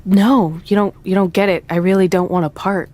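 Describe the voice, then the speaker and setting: A woman's voice: mid-range voice, fairly even intonation, moderate pace, darkish tone, close to an everyday voice. Casting: actress as tough, combative female wrestler, mid-range voice, dry and irritated, game cutscene delivery. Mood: reluctant, blunt. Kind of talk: comedic video game cutscene acting.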